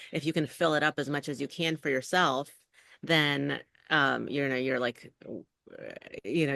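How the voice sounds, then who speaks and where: A woman's voice: low-pitched, gravelly, fairly bright tone, no room sound, studio quality. A middle-aged woman, an actor and acting coach, talking casually as an interview guest and rambling with lots of "like" and "you know".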